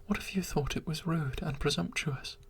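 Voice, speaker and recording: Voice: mid-range voice, moderate pace, vocal fry, slightly rough. A reader in an airy whisper voicing a lovesick scaly monster that pleads and repeats itself. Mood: pleading. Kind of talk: whispered story reading.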